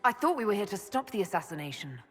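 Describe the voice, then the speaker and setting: Composed, husky woman leader. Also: mid-range voice, very animated, fast talker. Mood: serious. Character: composed, wary female Inquisitor leading against demons. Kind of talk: fantasy game dialogue. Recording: long hall reverb, music behind.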